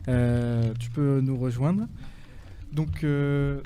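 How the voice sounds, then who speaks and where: A man hosting a radio interview, lively and quick to laugh. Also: mid-range voice, a little hiss or hum. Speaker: host.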